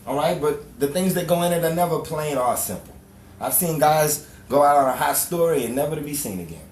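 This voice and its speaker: A man playing a gruff, blustering, bossy 1930s newspaper editor with hard-boiled slang in an old-time radio drama. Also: fairly high pitch, animated, fairly quick, natural voice. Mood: brusque, firm. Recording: distant roomy recording with faint noise.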